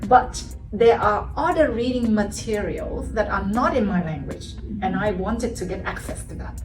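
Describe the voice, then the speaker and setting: A woman's voice: medium pitch, normal speed. A woman with a Vietnamese accent, a native Vietnamese speaker, answering interview questions casually as a language teacher. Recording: roomy, distant mic, music behind.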